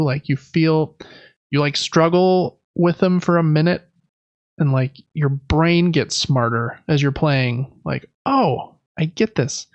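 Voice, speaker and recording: A man's voice: on the high side, no echo, dull audio. A man co-hosting a podcast, chatting casually about video games in a rambling, enthusiastic, informal way. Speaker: podcast co-host.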